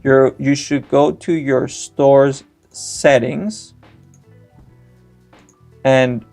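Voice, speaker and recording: A young man, Greek his first language, explains a software tutorial at a desk mic in a gravelly, middle-pitched voice. His delivery is step-by-step and dotted with "uh" fillers. Faint background music sits under the voice-over. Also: steady tone, very slow. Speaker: tutorial host. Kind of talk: screen-recorded tech tutorial.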